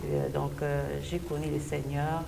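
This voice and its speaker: Heard from off in an echoey hall, a woman from Belgium gives a personal testimony as an interview guest. Her voice is low and gravelly. She searches for her words as she goes, over clear background noise. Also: flat delivery, a little slow. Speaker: gospel singer, interview guest. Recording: distant mic, echoey hall, noisy background.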